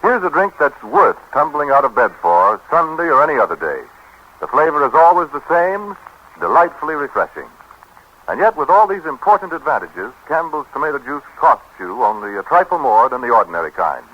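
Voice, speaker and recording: A man's voice: on the high side, sing-song, brisk, sharp tone, slightly rough. A man, a polished, salesmanlike 1930s radio announcer reading scripted copy. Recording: dull 1930s radio broadcast.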